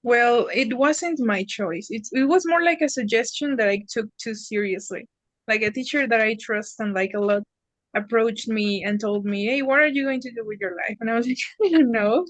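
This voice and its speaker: Young mexican woman, lively and animated, higher pitch, dry sound. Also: normal speed, no echo. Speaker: student teacher. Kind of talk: exam conversation.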